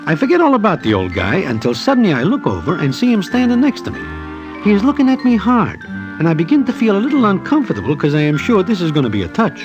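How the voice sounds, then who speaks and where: An actor playing a wry, streetwise Broadway storyteller who narrates in mannered present-tense slang, in 1940s radio style. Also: male voice, high voice, wildly swooping pitch, fast talker, muffled recording, over music. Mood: wry, storytelling. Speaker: narrator and character.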